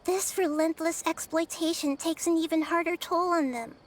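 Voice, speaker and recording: A woman voicing a gentle, wise young girl deity in a video game, speaking in a measured, earnest way. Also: very high voice, steady tone, slight background noise. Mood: explaining calmly.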